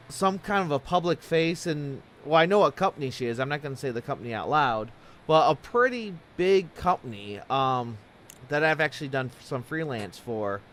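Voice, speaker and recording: A man with a slightly high voice interviews in a casual, loose style. He rambles through his thoughts and questions informally, like an amateur host talking things over with a guest. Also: fairly bright tone. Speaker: interviewer, talk show host.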